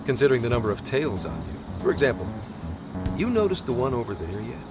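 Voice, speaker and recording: A very animated, mid-pitched video game cop, breezy and flippant. He sounds confident and teasing, playing things up theatrically. Music plays behind him. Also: quick pace. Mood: casual, teasing. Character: easygoing, teasing plainclothes policeman, confident and playful. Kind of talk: dubbed video game cutscene acting.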